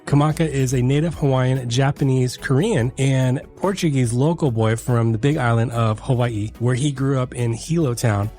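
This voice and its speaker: A man's voice: mid-range voice, moderate pace, hardly any pauses, warm tone, soft background music. A man hosting a podcast, reading an upbeat introduction and then chatting with his guest, encouraging him with short replies. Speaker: podcast host. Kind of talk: scripted intro, then casual interview.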